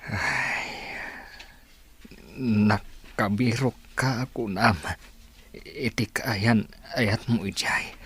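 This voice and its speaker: An older, reproving father in a radio drama. He is husky and extremely slow, with a low, drawling delivery. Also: a bit bright, full character voice. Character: stern older father, disapproving of daughter's old love. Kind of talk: Ilocano radio drama acting.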